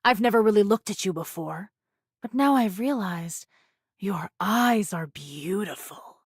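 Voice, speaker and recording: A woman's voice: mid-range voice, animated, a little slow, breathy edge, no echo, clean studio sound. A woman voicing a vengeful, taunting female pirate in an anime video game, with a menacing, theatrical way of talking. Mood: menacing, obsessed. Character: vengeful, taunting female pirate first mate, one-eyed ex-convict.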